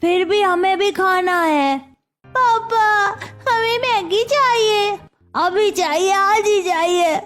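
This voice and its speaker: A woman's voice: very high voice, takes it slowly, fairly bright tone, faint music behind, full character voice. A woman voicing a pleading, complaining, stubborn young schoolchild in a cartoon story. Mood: pleading, whiny. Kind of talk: Hindi cartoon story dialogue.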